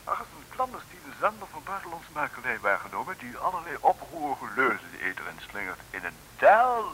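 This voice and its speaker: An actor playing a pompous, secretive intelligence colonel with a Dutch accent, officious and halting, in an old comic radio play. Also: male voice, a little high, huge pitch swings, fairly bright tone, full character voice, rough recording. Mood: officious, urgent. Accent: Dutch from the Netherlands. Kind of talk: 1950s-60s comic radio drama acting. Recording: hissy vintage radio recording.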